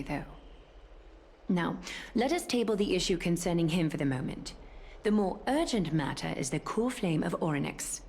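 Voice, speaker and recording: Low-voiced, composed strategist leader. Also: female voice, darkish tone, slight background noise. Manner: formal, measured, authoritative. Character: composed, ancient strategist leader of chosen heroes. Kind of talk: scripted game voice acting.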